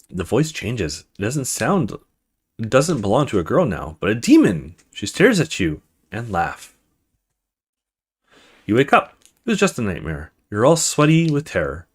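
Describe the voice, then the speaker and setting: A man's voice: mid-range voice, husky. A man hosting a let's play, reading a horror visual novel aloud in a dramatic storytelling way with casual asides.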